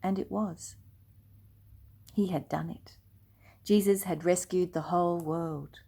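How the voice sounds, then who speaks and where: Slow, gentle older woman reading a story. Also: lowish voice, steady tone, husky. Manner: slow, gentle and hushed, dropping to near whispers. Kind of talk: reading a children's storybook aloud.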